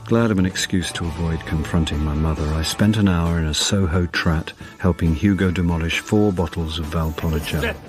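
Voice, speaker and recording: World-weary British man, low and droll, acted. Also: a little fast, few pauses, over music, natural voice. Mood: wry, confiding. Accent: British English. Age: middle-aged. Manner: dry, droll, self-deprecating. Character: world-weary, wry middle-aged jobbing actor, fond of drink. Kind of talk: radio drama acting with first-person narration.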